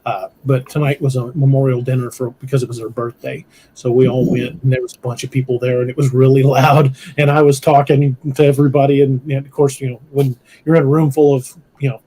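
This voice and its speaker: A middle-aged man hosting a live podcast, rambling and laughing, his voice a bit hoarse from shouting. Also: mid-range voice, flat delivery, brisk, a little hiss or hum, low-quality recording. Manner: rambling, self-interrupting, laughs often. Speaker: podcast host. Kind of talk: casual livestream chat. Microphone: headphones with mic on a video call.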